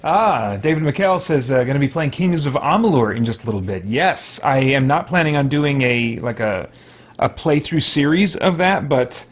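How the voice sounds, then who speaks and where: A man's voice: mid-range voice, lively intonation, normal speed. A man hosting a gaming livestream, chatting in a casual, rambling, easygoing way. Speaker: livestream host, gamer. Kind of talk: casual livestream gameplay chat.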